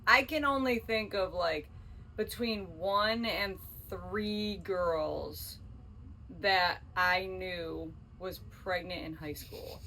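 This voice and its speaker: A woman's voice: on the low side, takes it slowly, sharp tone, slight background noise, low-quality recording. A tired young woman hosting a casual chat podcast, rambling and often trailing off mid-sentence. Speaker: podcast host.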